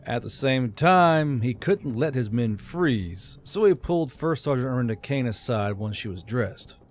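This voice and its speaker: A man's voice: mid-range voice, expressive, measured pace, slight background noise. A man reading scripted fantasy fiction as an audiobook narrator. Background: faint music.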